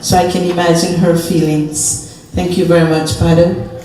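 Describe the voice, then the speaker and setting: Flat-voiced funeral emcee, echoey hall. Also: mid-range voice, moderate pace. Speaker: master of ceremonies at a funeral. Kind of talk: introducing speakers. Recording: echoey hall from far off, faint noise.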